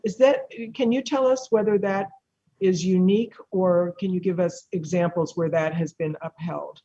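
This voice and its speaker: Presiding judge, husky and breathy, losing voice, curious conversational questions, dull muffled call audio. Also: mid-range voice, fairly even intonation, normal speed, dark tone.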